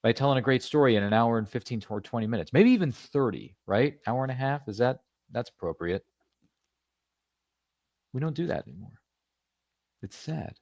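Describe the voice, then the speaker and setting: A man's voice: mid-range voice, expressive, moderate pace, pauses often, darkish tone, no room sound, clean studio sound. A man hosting a podcast interview, chatting casually and rambling with playful asides. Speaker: podcast host.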